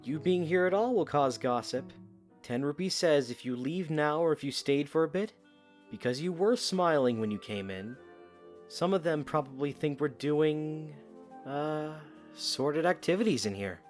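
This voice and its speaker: An actor playing a young knight, earnest and dutiful with a dry teasing streak, in an audio drama. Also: male voice, a little high, animated, moderate pace, soft background music, close to an everyday voice. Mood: wry.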